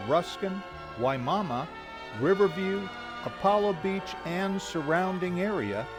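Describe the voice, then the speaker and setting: A man giving a formal scripted welcome as a theater announcer. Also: high voice. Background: music.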